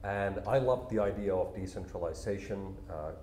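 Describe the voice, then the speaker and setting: A man, a company CEO explaining his work calmly and in a measured way in an interview. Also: low voice, a little hiss or hum. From Finland. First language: Finnish. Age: 40s. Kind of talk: business interview. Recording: roomy echo, faint ambience.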